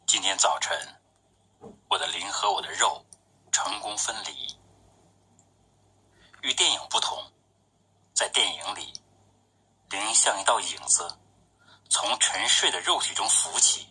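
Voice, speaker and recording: Husky low-voiced man reciting poetry. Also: lively intonation, unhurried, pauses often, bright, forceful tone, poor audio. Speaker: poet reading his own work.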